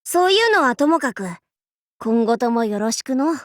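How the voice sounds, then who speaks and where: High-pitched, swaggering girlish demon-king warlord. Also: big pitch swings, normal speed, fairly bright tone, no room sound, clean studio sound. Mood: breezy, teasing. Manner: archaic lordly speech, haughty, abruptly switching to goofy. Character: boastful young female demon-king warlord, imperious yet playful. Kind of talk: Japanese anime game character voice lines.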